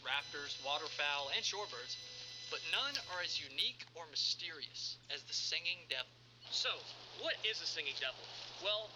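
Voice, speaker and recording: A noisy recording carries a cheerful park ranger, played by a man with a high voice. He is earnest and presentational, reading a safety announcement for an analog horror video. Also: close to an everyday voice. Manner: friendly, presentational. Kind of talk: scripted public service announcement.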